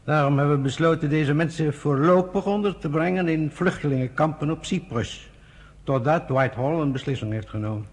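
An actor in a radio play, playing a pragmatic, avuncular senior British official with a husky, urbane, confiding manner. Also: male voice, on the high side, fairly quick. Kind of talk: Dutch radio play acting.

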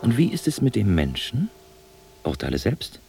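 A man's voice: on the low side, wildly swooping pitch, normal speed, vocal fry, a little mellow, a little hiss or hum, heavily acted. An actor playing a grumpy, imperious god of fate in a radio play, pompous and scolding in his speech. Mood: lofty, admonishing.

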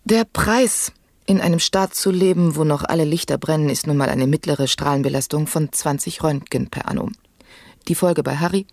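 A self-important female medical expert in a radio satire. Her voice has a gravelly fry and is recorded very dry. She lectures in a pedantic, imperious, clinical manner. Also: low voice, moderate pace, a little mellow, no echo. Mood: pedantic, matter-of-fact. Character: domineering woman doctor, self-important motorbike-riding expert. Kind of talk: satirical radio play acting.

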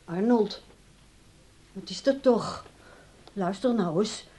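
Controlling adult daughter, medium pitch, brisk, formal, placating, radio play acting. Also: female voice, mellow, slightly rough. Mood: concerned. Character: polite but evasive, controlling adult daughter.

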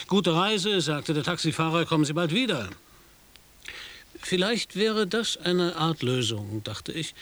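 An actor playing a skeptical, wry American reporter who questions and narrates in a radio play. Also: male voice, on the high side, natural voice.

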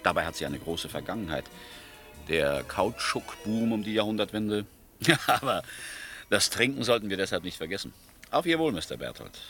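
Suave villainous oil executive: low, sharply bright voice, mock-courteous pauses, radio drama, music behind. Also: male voice, big pitch swings. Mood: suave, genial. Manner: urbane, mock-polite, hesitant drawl with pointed pauses. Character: suave, menacing American oil company boss in Brazil. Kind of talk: German radio play acting. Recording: studio radio play with background music.

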